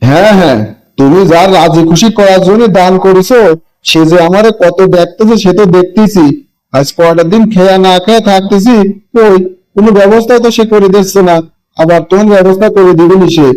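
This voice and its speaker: Man acting weary old Bangladeshi village father, higher-pitched. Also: a little fast, a little mellow, lightly acted, rough recording. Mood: exasperated. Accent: rural Bangladeshi. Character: poor old village father, weary, scolding but loving. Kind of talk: amateur cartoon voice acting.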